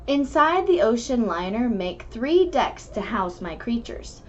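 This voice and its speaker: Woman, children's book read-aloud, lively swinging intonation, measured storytelling, slightly muffled lo-fi recording. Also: fairly high pitch. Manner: animated, measured storytelling delivery. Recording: dull lo-fi recording with background noise.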